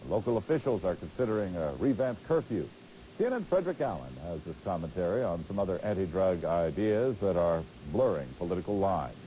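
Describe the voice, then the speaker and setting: A man's voice: sits low, big pitch swings, brisk, mellow, poor audio. An American man anchoring a scripted television newscast, heard on a hissy late-eighties tape. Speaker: news anchor.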